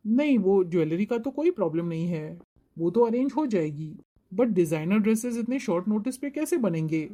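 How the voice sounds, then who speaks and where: Mellow, polite young suitor voiced by a woman. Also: mid-range voice, lively intonation, moderate pace, close to an everyday voice. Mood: accommodating. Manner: polite, accommodating. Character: polite, eager-to-please young male suitor Rajveer. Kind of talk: Hindi cartoon moral-story voice acting.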